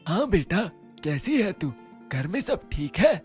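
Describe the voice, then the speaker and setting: A caring middle-aged father from a cartoon story, voiced by a woman speaks softly in a lowered voice. Faint music sits behind him. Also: sing-song, measured pace.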